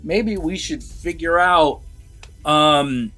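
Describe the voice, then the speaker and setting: A man co-hosting a casual review chat, rambling and halting, swearing freely as he goes. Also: fairly high pitch, sharp tone, soft background music, rough recording. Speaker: co-host of a movie review vlog.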